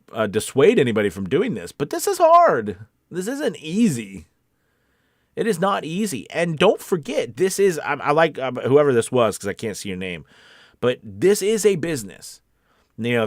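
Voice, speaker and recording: A man's voice: medium pitch, dry recording, clean studio sound. An American man hosting a casual live stream as a coach, chatting to viewers in an emphatic way and repeating his key points. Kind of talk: casual livestream chat reading out viewer comments.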